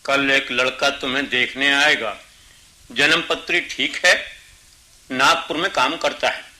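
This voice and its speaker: Sharp-voiced, irritable Indian father. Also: medium pitch, steady tone, unhurried, low-quality recording. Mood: grudging, evasive. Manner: defensive, grumbling, snappish. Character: evasive, irritable middle-aged Indian father and husband. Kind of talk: radio drama acting.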